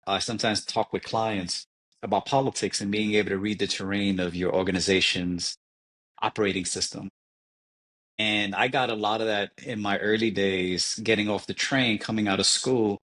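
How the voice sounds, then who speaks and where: A man in his forties from New York City, talking in an interview as a leadership advisor, measured and reflective. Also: on the low side, a bit bright. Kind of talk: interview conversation.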